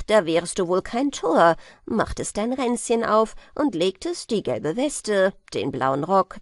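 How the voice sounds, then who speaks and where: Relaxed bantering journeyman smith, slow and low, dry close read by a woman. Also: no room sound. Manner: calm, mocking, reassuring, lively intonation. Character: easygoing cheerful male journeyman smith. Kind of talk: audiobook character voice.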